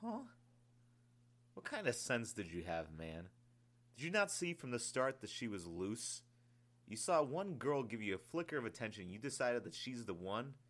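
A man voicing a grieving male army commander who curses and yells harshly. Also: mid-range voice, big pitch swings, moderate pace, hardly any pauses, voice pushed up. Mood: contemptuous. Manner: harsh, yelling, cursing. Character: grieving male soldier commander, bitter and explosive.

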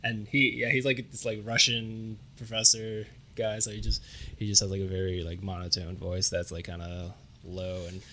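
A young man with a lowish, bright, forceful voice, hosting a relaxed chat. He leans on "like" and "um" as he talks, and faint room noise sits under him. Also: normal speed. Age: young adult. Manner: filler-heavy, uses "like" often. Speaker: podcast host, student. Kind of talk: casual interview.

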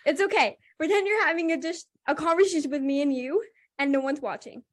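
A woman's voice: high voice, fairly quick. A young woman hosting a podcast, running a casual interview in an enthusiastic, upbeat way. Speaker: podcast host.

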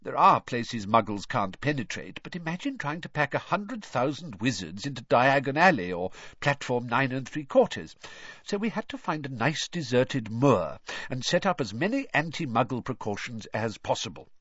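Man acting out cheerful middle-aged wizard father, storybook character, eager explainer, lively swooping intonation, husky edge. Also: mid-range voice, no echo, muffled recording, pitched above the performer's own voice. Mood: eager, informative. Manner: brisk, enthusiastic, chatty explaining.

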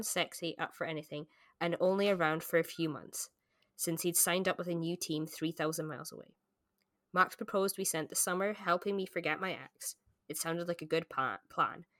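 A woman with a somewhat low voice co-hosts a casual book podcast. Her sound is very dry, with no room around it, as she chats and reads aloud in an informal way. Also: fairly bright tone, dry recording. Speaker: podcast co-host.

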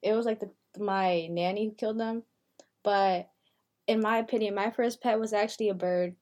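A woman's voice: mid-range voice, steady tone, moderate pace, darkish tone. Chatty eighteen-year-old woman podcaster. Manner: chatty, leans on "like" fillers. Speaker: podcast co-host, university freshman. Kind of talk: casual podcast chat.

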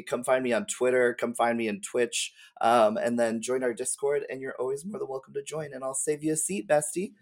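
A podcast guest and streamer chatting casually in a friendly, gushing, slangy way. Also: mid-range voice. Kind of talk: casual chat.

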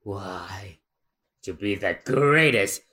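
A man voicing a gruff, ambitious young pirate swordsman from a comic, speaking tersely. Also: expressive, drawn-out words, pushed and bright, heavily acted. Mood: terse, determined. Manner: low, terse.

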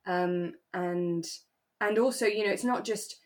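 A woman's voice: fairly low pitch, a little slow. British woman chatting as podcast co-host. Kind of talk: relaxed chat recapping a radio drama.

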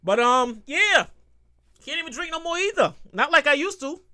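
A man's voice: very high-pitched, normal speed. A man in his thirties hosting a casual solo podcast, rambling and cursing as he repeats himself. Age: 30s. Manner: animated, acts out quotes.

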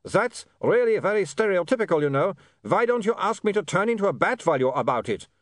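A man's voice: high-pitched, big pitch swings, brisk, no room sound, studio quality, voice pushed up. A man voicing an amicable, earnestly polite reformed male vampire for an audiobook. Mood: wounded, put-upon. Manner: earnest, formally polite, slightly insistent. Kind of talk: audiobook character voice.

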